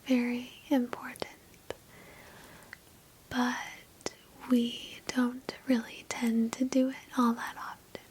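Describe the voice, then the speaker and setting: A woman leads an ASMR meditation in a soft, breathy whisper. She speaks extremely slowly and keeps a fairly even tone, gentle and reassuring throughout. There is a faint noise in the recording. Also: on the high side, low-quality recording. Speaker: ASMR host guiding a meditation. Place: indoors, sitting on a bed.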